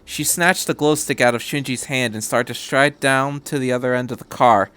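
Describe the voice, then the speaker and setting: A man's voice: medium pitch, moderate pace, fairly bright tone, clear, resonant tone. A man reading a story aloud as a podcast host, in scripted narration. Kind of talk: scripted narration of fan fiction.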